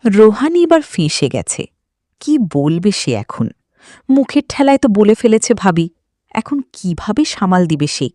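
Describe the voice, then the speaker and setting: A woman's voice: medium pitch, big pitch swings, a little slow, dark tone, dry recording, studio quality. A woman storyteller reading a romantic story expressively. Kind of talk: expressive narration of a romantic story with character dialogue.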